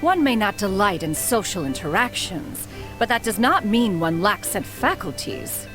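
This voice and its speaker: A woman's voice: mid-range voice, big pitch swings, moderate pace, lightly acted, poor audio. A woman playing an aloof, haughty immortal lady in an anime game, speaking in stately, old-fashioned phrases over music. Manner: archaic, stately phrasing, calls self "one". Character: aloof, formal, ancient adeptus woman, haughty, awkward.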